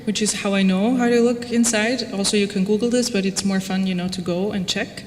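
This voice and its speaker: A woman's voice: mid-range voice, steady tone, brisk, darkish tone. A woman from Austria giving an informal lecture in a conversational, wry way, peppered with casual asides. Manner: conversational, wry, frequent "like" and "you know". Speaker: lecturer presenting own research project. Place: reverberant lecture room, before an audience. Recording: echoey hall, background noise.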